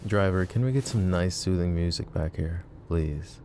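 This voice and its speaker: A man playing a possessive, protective mafia boss in an intimate ASMR roleplay, speaking in a hushed, deliberate, tender way that often dips toward a whisper. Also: low voice, slight background noise, natural voice. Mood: soothing. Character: possessive, protective male mafia boss, smooth menacing devotion.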